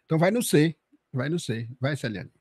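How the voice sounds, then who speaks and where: A Brazilian man co-hosting a podcast, jumping in with playful, lively interjections. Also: fairly high pitch, normal speed, slightly rough, no room sound. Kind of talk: casual podcast chat.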